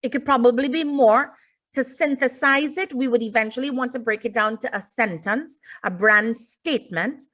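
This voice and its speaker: Female teacher-presenter, native English speaker, slightly high voice, informal explanatory lecture over a video call. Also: normal speed, a bit bright. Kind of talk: informal online lecture, addressing audience. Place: indoors, online video call.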